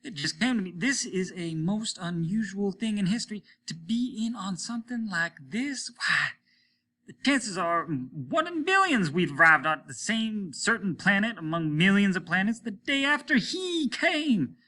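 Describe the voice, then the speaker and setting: Man voicing haggard male captain, desperate, bullying, raised pitch, tense forceful tone, urgent demands, storybook drama. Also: very animated, measured pace, full character voice. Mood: weakly desperate. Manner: insistent, demanding, repeats himself urgently.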